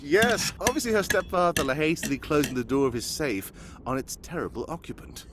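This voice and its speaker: A man acting a dry, animated radio detective. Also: a little high, fairly quick, very noisy, lightly acted. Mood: explaining, assured. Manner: formal, explanatory, dry wit. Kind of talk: live old-time radio drama acting.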